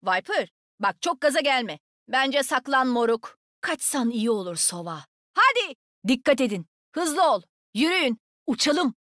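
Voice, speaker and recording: A woman's voice: high voice, big pitch swings, measured pace, fairly bright tone, dry recording, studio quality, full character voice. A woman playing a cocky, nimble young female agent in a shooter game, with a sassy, brash, taunting manner. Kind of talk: video game combat voicelines.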